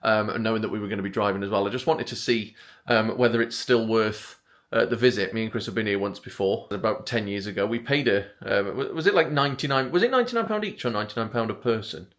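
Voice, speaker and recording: A man, talking quietly and hesitantly to camera in a casual travel vlog, pausing often with ums, on a clip-on microphone. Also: lowish voice. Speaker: vlogger.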